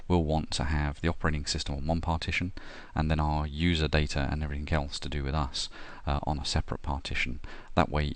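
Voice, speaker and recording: Guy, really deep low voice, walking through a computer setup, lots of uhs. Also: no room sound. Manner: steady step-by-step explaining with frequent "uh" and "um". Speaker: software tutorial presenter. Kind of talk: screen-recorded tutorial narration.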